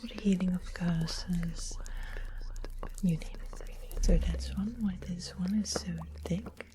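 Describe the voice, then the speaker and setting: A sweet-faced, sly witch acted by a woman whispers softly and lowly in an ASMR fantasy roleplay. Her manner is gentle and doting with a quietly sinister edge. Clear noise and small clicks sit behind the close whisper. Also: steady tone, slow. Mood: careful, explaining. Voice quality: soft unvoiced whisper.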